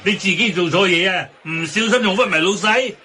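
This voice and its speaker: An actor playing a testy, indignant Hong Kong teahouse boss in a radio comedy, protesting in exclamations. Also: male voice, sits high, fairly quick, sharp tone. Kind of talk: Cantonese radio comedy acting.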